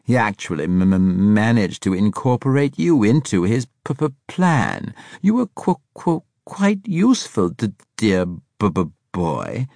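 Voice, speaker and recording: A flamboyant older spy from an audiobook thriller, pitched well above a deep male register. He stammers on his consonants and sounds airy, arch and smugly cheerful, with a treacherous edge. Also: very animated, a little slow, few pauses, darkish tone, no room sound, studio quality. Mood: gleeful. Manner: airy, arch, condescending, effusive.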